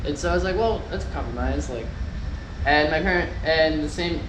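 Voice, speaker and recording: A man's voice: fairly high pitch, moderate pace. A young man from Taiwan telling stories casually as a podcast guest, rambling with lots of "like" and acting out what others said. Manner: rambling, heavy use of "like", acts out quoted people. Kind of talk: casual conversational storytelling. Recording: echoey room with loud background noise.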